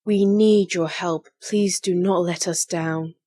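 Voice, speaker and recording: A poised leader of her people as acted by a young woman, speaking slowly and with sophistication. Her delivery is composed and authoritative, fit for an animated saga. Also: lowish voice. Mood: solemn, composed. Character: dignified, strong female leader addressing her people. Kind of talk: animated series voice acting demo.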